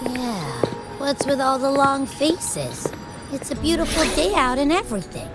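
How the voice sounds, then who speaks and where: A woman's voice: high voice, very animated, measured pace. A woman voicing a breezy, upbeat female sidekick in an anime-style game, with a carefree drawl. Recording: loud music under the voice.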